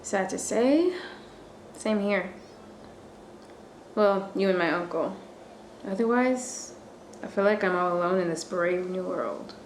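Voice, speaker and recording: An actress playing an earnest, guarded young student in a radio thriller, expressive and conversational. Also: female voice, mid-range voice, takes it slowly, pauses often, slight background noise. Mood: wistful. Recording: echoey room, music underneath.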